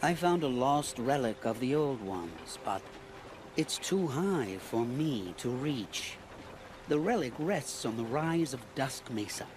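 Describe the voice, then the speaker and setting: An actor playing a cocky but cowardly bandit swindler in a video game, with a theatrical, blustering manner. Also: male voice, medium pitch, expressive, slow pace, background noise. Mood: coaxing. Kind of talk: cinematic game dialogue.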